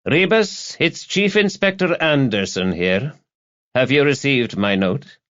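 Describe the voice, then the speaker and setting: A man voicing a brisk, commanding male chief inspector in an audiobook, with a clipped, official manner. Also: medium pitch, expressive, a bit bright, highs cut off, voice pushed up. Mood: brisk, demanding.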